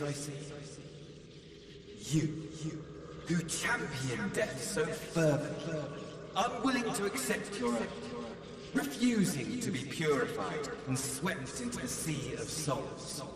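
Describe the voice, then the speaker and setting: An actor playing a scornful, lofty damned villain in a video game, taunting in archaic, drawn-out phrases. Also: male voice, fairly high pitch. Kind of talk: dramatic video game dialogue. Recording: distant, reverberant hall with ambient noise.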